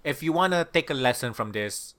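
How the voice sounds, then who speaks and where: A man's voice: mid-range voice, expressive, a little fast, a bit bright. A man co-hosting a podcast in casual chat, blunt and wry, swearing freely. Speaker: podcast co-host.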